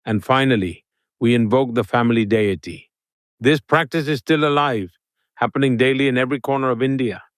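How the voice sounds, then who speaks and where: Brief: male guest voice, mid pitch, measured speech-like delivery, dry mic. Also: dry recording. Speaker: interview guest.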